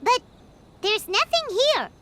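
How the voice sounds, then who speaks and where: A woman voicing a small, chirpy, childlike fairy companion in a video game, squeaky and exclamatory. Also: sing-song, slow, noisy background, full character voice. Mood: puzzled. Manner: refers to self in third person, exclamatory. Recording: loud music over the voice. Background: game music.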